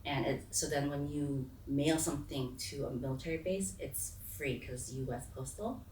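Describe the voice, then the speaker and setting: Female, 40s, American, low register, candid informal storyteller, recorded off-mic in a roomy space. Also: normal speed, darkish tone. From raised on US military bases, largely in South Korea. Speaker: interview guest. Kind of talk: conversational life-story telling. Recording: echoey room, distant mic, faint noise.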